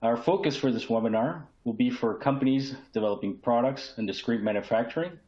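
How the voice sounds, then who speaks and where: A man's voice: mid-range voice, brisk, dark tone. A man hosting a business webinar as a presenter. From San Juan, Puerto Rico. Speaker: host, key account manager. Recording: roomy echo, dull muffled sound.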